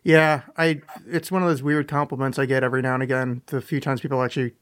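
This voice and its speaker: A man's voice: mid-range voice, flat delivery, quick pace, fairly bright tone. Joking, rambling man podcast host. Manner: joking, self-deprecating, rambling. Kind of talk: casual podcast chat.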